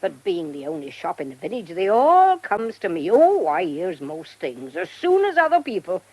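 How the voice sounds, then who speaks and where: An actress playing an old, friendly, gossipy village shopkeeper in a radio drama, rambling and folksy in a rural southern English accent. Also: female voice, on the low side, huge pitch swings, moderate pace, a little mellow, husky, no room sound, exaggerated voice, rough recording. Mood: gossipy, amused. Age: older.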